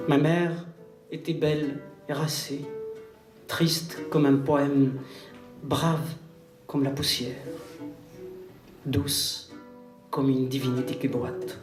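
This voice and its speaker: A man recites poetry in a solemn, declamatory style, with measured phrasing and pauses. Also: fairly high pitch, a little mellow. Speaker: poet reciting. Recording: roomy, distant live recital recording. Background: plucked guitar music.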